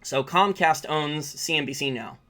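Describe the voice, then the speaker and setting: A man's voice: on the high side, moderate pace, a bit bright. An American man giving informal, opinionated, off-the-cuff financial market commentary as a channel host, repeating points for emphasis. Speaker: finance channel host answering live viewer questions.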